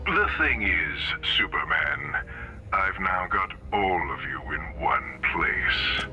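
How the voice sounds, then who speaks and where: A male actor voicing a menacing villain in an animated video game, gloating theatrically as he savors his triumph. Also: low voice, quick pace, lo-fi sound, music in the background. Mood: gloating menace.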